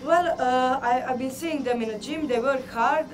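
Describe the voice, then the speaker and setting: Female fighter, 30s, frank and upbeat, higher register, music bed. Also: moderate pace. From Croatia. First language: Croatian. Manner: frank, laughs easily, slightly non-native phrasing. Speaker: boxer. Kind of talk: casual interview. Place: boxing gym, indoors.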